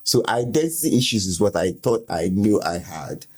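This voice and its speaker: A man's voice: on the low side, big pitch swings, moderate pace. A man, a guest frankly recounting his own life in an interview. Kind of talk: candid personal storytelling.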